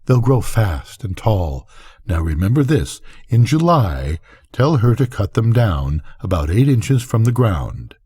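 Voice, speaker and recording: A man's voice: lowish voice, sing-song, unhurried, dark tone, breathy edge, heavily acted. An older man reading a story, voicing an eager, intense farm woman who is passionate about her flowers. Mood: earnest, instructing.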